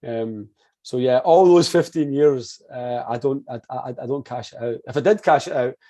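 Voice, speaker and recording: A man's voice: mid-range voice, normal speed, dark tone. Joking man in his forties. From Scotland. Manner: joking, stammers and repeats words. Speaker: guest, language school manager. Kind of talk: casual interview chat.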